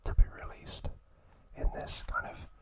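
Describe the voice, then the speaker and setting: Male ASMR host. Unvoiced, breathy whisper throughout, very unhurried phrasing with pauses, close on the mic. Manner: slow, hushed, deliberate phrasing with pauses. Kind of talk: ASMR, whispered trivia and show-and-tell.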